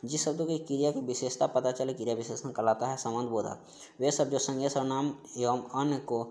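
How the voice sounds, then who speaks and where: A man's voice: medium pitch, steady tone, a little fast, warm tone. A man teaching an exam-preparation class, going through questions and answers in an instructional, repetitive way. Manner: repetitive, instructional, stressing key terms by repeating them. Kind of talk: explaining quiz questions in an online class.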